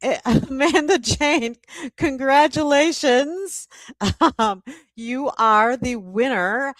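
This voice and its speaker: A woman's voice: high-pitched, slow pace, a bit bright, no room sound. A woman hosting a casual livestream event as its publisher, speaking informally to a guest and viewers. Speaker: host, publisher.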